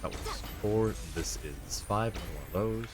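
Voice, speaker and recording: Low-voiced male game streamer thinking aloud. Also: normal speed. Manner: thinks aloud, reading on-screen text and chat. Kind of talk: casual live gaming commentary. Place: indoor live stream setup. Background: faint game music.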